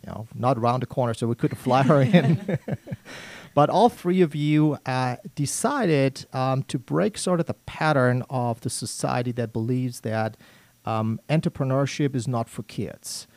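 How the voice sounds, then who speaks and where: A man's voice: mid-range voice, a little slow, darkish tone, no echo. Upbeat German-accented radio host man. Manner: upbeat, chatty, laughs often. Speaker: radio talk show host. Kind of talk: live business radio talk. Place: radio studio.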